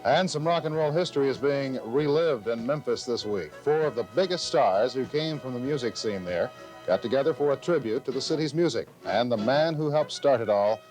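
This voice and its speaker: A man's voice: medium pitch, animated, brisk, a little mellow, rough recording. Male co-anchor for TV news, mid-range voice, scripted read, slight music underneath. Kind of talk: scripted TV news reading with brief co-anchor banter. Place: TV news studio.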